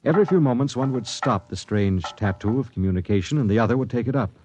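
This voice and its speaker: An actor playing a stern, authoritative space captain who speaks with measured dignity in a 1950s radio drama. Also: male voice, mid-range voice, animated, a little mellow, a little hiss or hum, natural voice. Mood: grave narration. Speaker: captain and narrator. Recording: dry, band-limited 1950s radio recording.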